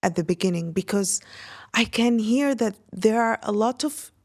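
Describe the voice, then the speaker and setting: Chatty woman singer with creaky voice. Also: mid-range voice, moderate pace. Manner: chatty, laughs often, many fillers and restarts. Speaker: singer, podcast guest. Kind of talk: conversational interview.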